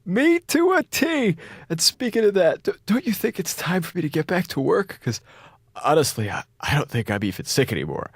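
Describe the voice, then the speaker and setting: An actor in a radio drama playing a sick, captive space station officer, sarcastic, rambling and frantic. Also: male voice, sits high, sing-song, normal speed, husky, no room sound, heavily acted. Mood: nervous bluffing. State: feverish, coughing.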